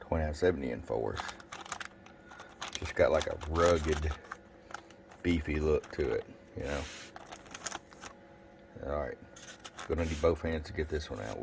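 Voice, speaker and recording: A man giving a casual bench review of gear, plain-spoken and thinking aloud as he goes. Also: rumbling low. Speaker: product reviewer. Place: indoor electronics workbench. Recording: faint background noise.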